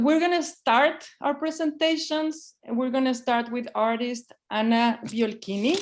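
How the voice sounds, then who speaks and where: A woman from Mexico hosting an online artist talk over a video call, friendly and a little hesitant, with restarts. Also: sits high.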